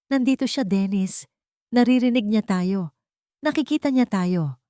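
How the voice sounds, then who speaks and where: A woman's voice: mid-range voice, unhurried, warm tone, dry recording, clean studio sound, voice pushed up, close to an everyday voice. A woman voicing a hysterical mistress in an audio drama, raving and trembling with fear. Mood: terrified. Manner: screaming, trembling outbursts.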